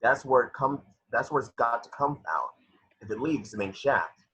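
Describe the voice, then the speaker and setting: A man playing a blunt, hot-tempered engineer with a husky voice in an amateur table read of a sci-fi script. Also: medium pitch, lively intonation, normal speed, a bit bright. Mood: tense. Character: blunt, hot-tempered, foul-mouthed male spaceship engineer.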